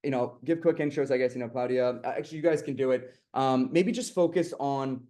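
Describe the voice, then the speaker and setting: A man's voice: mid-range voice, brisk, dark tone. A man moderating an online finance panel, rambling with lots of fillers and restarts.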